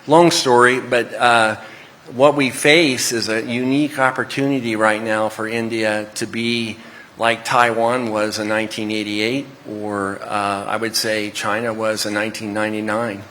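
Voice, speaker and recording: Texan man on a panel, bright voice. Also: lowish voice, steady tone, measured pace, slight background noise. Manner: hesitant, conversational. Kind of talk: panel discussion.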